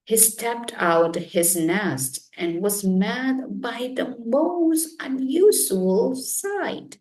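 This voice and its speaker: Slow, lively woman reading in a roomy space. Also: on the high side. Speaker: children's storybook reader. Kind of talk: expressive read-aloud narration. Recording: roomy echo, distant microphone.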